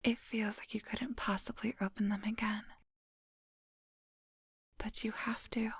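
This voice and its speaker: Breathy, whispering female vampire hypnotist, acted by a woman. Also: medium pitch, level tone, no echo, clean studio sound. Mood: hypnotic, soothing. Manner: slow, coaxing, hypnotic instructions. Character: female vampire hypnotist, coolly menacing, coaxing and predatory. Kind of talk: whispered ASMR roleplay. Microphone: close microphone, dry.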